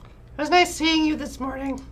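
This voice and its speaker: A woman's voice: sits high, steady tone, moderate pace, fairly bright tone, slight background noise. A woman voicing a breezy, teasing young woman friend from a dating sim visual novel. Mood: breezy, reassuring.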